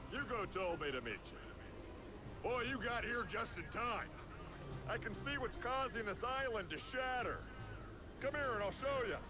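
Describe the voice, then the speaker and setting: Cocky cartoon pilot dude, really high voice, breezy, game music behind. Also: male voice, animated, moderate pace. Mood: brash, friendly. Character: brash, cocky cartoon male balloon pilot. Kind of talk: cartoon video game voice acting.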